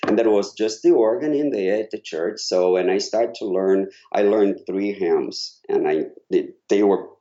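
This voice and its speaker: A middle-aged man with a Brazilian Portuguese accent, a choral conductor telling his story as a podcast guest, halting with frequent restarts. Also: on the low side, warm tone. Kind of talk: casual interview conversation. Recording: slightly dull lo-fi sound.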